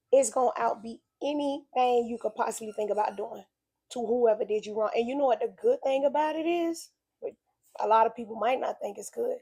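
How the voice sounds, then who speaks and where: A woman's voice: high-pitched, warm tone. A woman, sharing an earnest, preachy faith message in casual talk. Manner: earnest, preachy, conversational with emphatic repetition. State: slightly raspy voice from illness. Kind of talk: casual spoken reflection.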